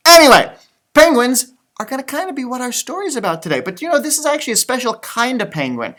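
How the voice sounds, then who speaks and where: A man's voice: high voice, fairly bright tone. A man reading a storybook aloud to children, chatting in exaggerated teacherly asides and repeating phrases for young listeners.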